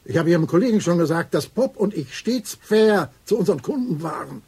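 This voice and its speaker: Irate raspy businessman, high-pitched. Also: animated, fairly quick, warm tone, exaggerated voice. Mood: sneering, impatient. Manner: indignant, sneering. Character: irate, demanding businessman, arrogant and frightened for himself. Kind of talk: German radio drama acting.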